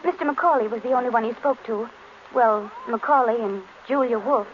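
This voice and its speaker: A woman acts a worried, well-bred young lady in vintage radio drama. Her voice is high, and the old recording is muffled with faint noise. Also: normal speed, natural voice. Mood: anxious. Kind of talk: 1930s radio drama acting.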